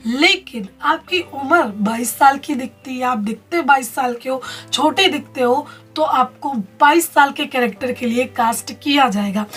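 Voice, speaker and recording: A woman presenting an advice vlog, explaining things directly to listeners as a casting director and host. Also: sits high. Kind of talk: advice vlog talking to camera.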